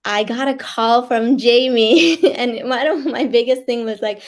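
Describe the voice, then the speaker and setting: Raspy woman. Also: on the high side, unhurried. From Iran. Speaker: guest author and life coach. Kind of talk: personal sharing and reading aloud. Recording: online video call.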